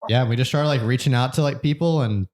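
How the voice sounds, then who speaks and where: Rambling young podcast co-host. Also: male voice, medium pitch, fast talker, a little mellow. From Georgia, USA. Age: 20s. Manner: rambling, many fillers and restarts. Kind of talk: casual podcast chat.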